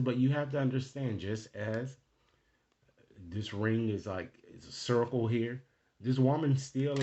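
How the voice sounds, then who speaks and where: A man's voice: medium pitch, dark tone, dull audio. A man speaking casually as a tarot reader, improvising to the viewer and often checking in with short tag questions. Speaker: tarot card reader, host. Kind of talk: casual, improvised card reading addressed to the viewer.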